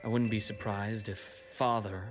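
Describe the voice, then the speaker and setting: An actor playing a composed, brooding male investigator in a video game, speaking in a measured, deliberate way. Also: on the low side, little pitch movement, over music, close to an everyday voice. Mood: bitter, restrained.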